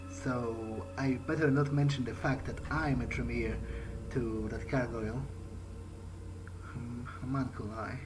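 A man's voice: mid-range voice, fairly even intonation, measured pace, music in the background, poor audio. A man playing a video game in character, thinking aloud in a musing, hesitant way. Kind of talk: in-character game playthrough commentary.

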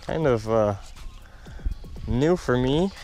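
A man's voice: medium pitch, slow, faint music behind. A young man fishing alone from a small boat on a lake, chatting to the camera in a running commentary. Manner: muttering to himself, then excited and laughing. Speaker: fishing vlogger. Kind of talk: solo outdoor fishing commentary. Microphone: camera mic on the boat, wind and water around.

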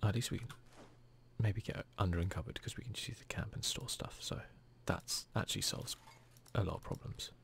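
A man doing hushed, murmured ASMR commentary over a video game, talking to himself close to the microphone. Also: lowish voice, fairly quick, creaky. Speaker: gaming video host.

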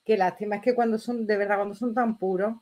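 A middle-aged woman with a Spanish accent from Spain, giving casual reaction commentary in an expressive, exclamatory way. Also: mid-range voice, quick pace, noisy background. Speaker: reaction video host. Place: small bedroom indoors.

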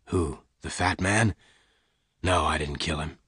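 A calm Old West gunfighter, played by a male reader, very low and quiet. He speaks slowly and gently with a hard edge, in a close, dry storybook recording. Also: husky, no room sound, full character voice. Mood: calm, gentle. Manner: calm, slow, gentle but hard-edged. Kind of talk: audiobook character dialogue.

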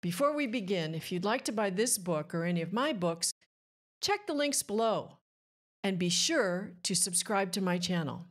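Older lady in her 60s doing kids read aloud, upbeat, really animated voice, close mic. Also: mid-range voice, moderate pace. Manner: animated, addressing children directly. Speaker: host of children's read-aloud show. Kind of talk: upbeat picture book read-aloud presenting. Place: small indoor room with bookshelves. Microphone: close boom-arm studio microphone.

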